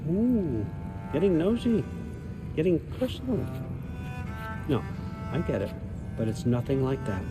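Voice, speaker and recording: A man's voice: on the high side, big pitch swings, measured pace, pauses often, loud music behind the voice. An older man playing a sly, folksy god ruler in a video game, talking in a wry, needling, falsely friendly way. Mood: needling, casual. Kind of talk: naturalistic video game acting.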